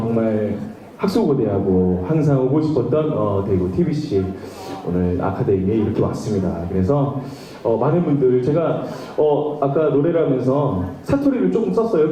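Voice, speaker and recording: A man's voice: mid-range voice, animated, normal speed, dark tone, recorded from a distance. A male trot singer chatting and bantering with a large live audience between songs, full of fillers. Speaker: trot singer performing on stage. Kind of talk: stage patter with a live audience. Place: large concert hall stage before about two thousand people. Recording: echoey hall with backing music.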